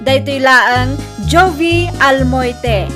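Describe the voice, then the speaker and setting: A woman announcer with a very high voice and an Ilocano Filipino accent, over music. She presents a radio drama programme in a drawn-out, scripted delivery.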